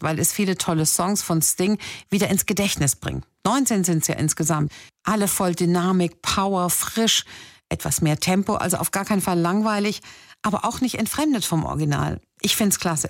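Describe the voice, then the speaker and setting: Chatty woman presenter, low and dry. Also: normal speed, no echo. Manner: lively storytelling, chatty asides. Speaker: radio music presenter. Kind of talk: personal scripted narration.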